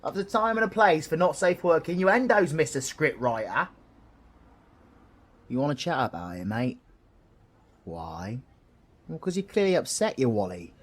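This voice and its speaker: A man's voice: fairly high pitch, sing-song, moderate pace, long pauses. A British man playing a cheeky, kind-hearted supervillain in an audio roleplay, chummy, slangy and reassuring, with a bit of mild swearing. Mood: playful concern. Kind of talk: scripted audio roleplay addressed to the listener.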